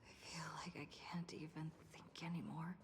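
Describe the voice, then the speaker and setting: An actress playing a weary, doubtful young office worker in a video game, with a breathy, hushed voice. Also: female voice, sits low, level tone, moderate pace. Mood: weary, wistful.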